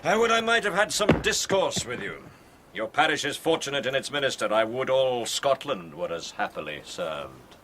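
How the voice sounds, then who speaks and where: A cultured soldier-scholar in a radio play. His formal, eloquent speech moves widely in pitch around a middle register, over clear background noise. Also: very animated, moderate pace, a bit bright. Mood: grave, gracious. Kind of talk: radio drama acting.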